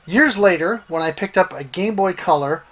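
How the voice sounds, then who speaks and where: A middle-aged man hosting a retro gaming series, reading scripted personal narration with a deliberate, dramatic delivery and emphatic pauses. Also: high-pitched, expressive, a bit bright.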